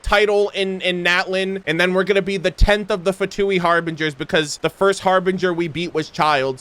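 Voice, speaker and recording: High-voiced man, casual game streamer. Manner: animated, slangy, swears freely. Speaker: streamer reacting to a video game. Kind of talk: casual gaming reaction commentary with lore reading.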